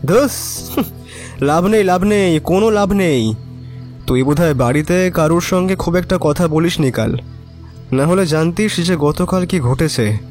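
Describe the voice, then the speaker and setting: A man's voice: fairly high pitch, unhurried, a little mellow, soft background music, lightly acted. An actor playing a cynical, resigned young neighbourhood man, talking colloquially and wryly in an audio drama. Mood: resigned, gossipy. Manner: colloquial, emphatic repetition, wry. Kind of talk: Bengali audio drama acting.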